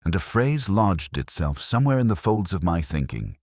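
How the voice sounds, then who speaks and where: Very deep-voiced man narrating a mystery. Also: big pitch swings, darkish tone, no echo, clean studio sound. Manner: measured, reflective. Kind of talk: scripted first-person mystery narration.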